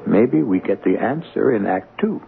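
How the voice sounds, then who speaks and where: A man's voice: lowish voice, expressive, normal speed, creaky, a little hiss or hum. A man hosting a 1970s radio drama, musing rhetorically in a scripted, philosophical style. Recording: tinny 1970s radio recording. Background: prominent music.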